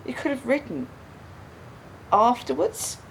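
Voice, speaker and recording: An actress in a radio play voicing an embittered, grieving widow who speaks with accusing, pointed questions. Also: female voice, sits high, very animated, a little slow, gravelly, a little hiss or hum, full character voice, rough recording. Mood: pressing, sceptical. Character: embittered grieving widow confronting her husband's killer.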